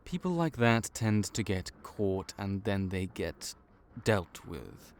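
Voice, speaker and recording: A man playing a wry, low-voiced vampire clerk. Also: big pitch swings, slow, slight background noise, exaggerated voice. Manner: matter-of-fact, wry, bureaucratic. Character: male vampire intake officer, dry, patient. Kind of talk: audio roleplay.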